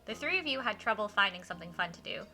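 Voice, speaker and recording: A woman's voice: medium pitch, lively intonation, fast talker, bright, forceful tone. A woman streamer reading a visual novel aloud expressively, with casual asides to her viewers and game music behind her.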